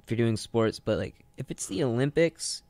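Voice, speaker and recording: A man's voice: medium pitch, animated, normal speed, a little mellow, no echo. A man co-hosting a casual radio show, giving opinions in a rambling way and repeating phrases as he makes his point. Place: radio studio.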